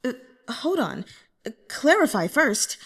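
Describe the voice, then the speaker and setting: A man's voice: extremely high pitch, big pitch swings, a little slow, vocal fry, a bit bright, slightly rough, voice pushed up, exaggerated voice. Woman reading as a hesitant, eager male author. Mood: flustered. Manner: hesitant, deferential. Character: humbled, eager male would-be author, anxious to please. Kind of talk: audiobook character dialogue.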